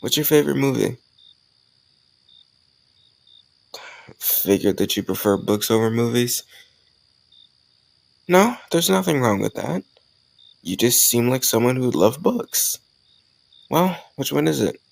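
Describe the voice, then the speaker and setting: A man playing an easygoing male college student who chats casually and teases gently in an intimate romantic audio roleplay. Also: mid-range voice, big pitch swings, measured pace, vocal fry, long pauses, no echo, exaggerated voice. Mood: surprised, curious. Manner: casual, conversational, gently teasing.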